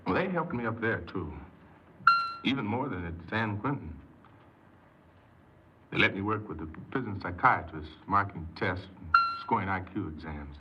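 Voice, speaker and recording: An actor playing an earnest, insecure paroled ex-convict who tells his story haltingly in a 1950s radio drama. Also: deep voice, expressive. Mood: subdued, reflective. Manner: hesitant, halting, repeats words. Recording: noisy muffled 1950s radio recording.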